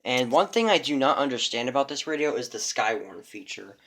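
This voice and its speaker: A man's voice: medium pitch, expressive, brisk, fairly bright tone. A young man giving a casual show-and-tell of his radio collection, rambling, with many fillers and restarts.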